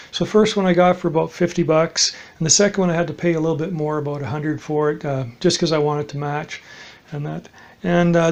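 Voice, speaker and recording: A man giving a casual, unscripted demonstration as a DIY hobbyist, halting and matter-of-fact, trailing off at times. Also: on the high side.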